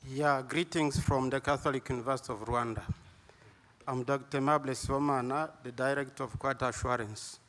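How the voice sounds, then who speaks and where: A man speaks on a conference panel in a medium-pitched voice. He answers plainly and to the point. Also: fairly even intonation, a little fast, a bit bright. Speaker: quality assurance director, panelist.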